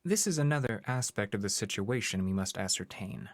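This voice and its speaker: An actor playing a cold, clinical interrogator in an audio drama, speaking in a stilted, formal, probing way. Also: male voice, lowish voice, lively intonation, a little fast, no echo.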